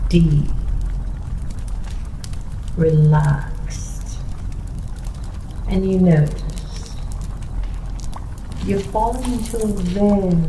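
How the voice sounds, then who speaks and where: A woman playing a playful, boastful, slightly crazy witch hypnotist in an ASMR roleplay, speaking in a slow, coaxing, teasing manner. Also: on the low side, dark tone. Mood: soothing, hypnotic. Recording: noisy recording in echoey room.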